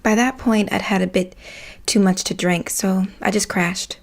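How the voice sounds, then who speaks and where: An actress playing a candid working woman in a comic audio drama, telling her side casually with deadpan asides. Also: mid-range voice, moderate pace, vocal fry. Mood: casual, matter-of-fact. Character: candid, wry working woman, a concerned neighbour.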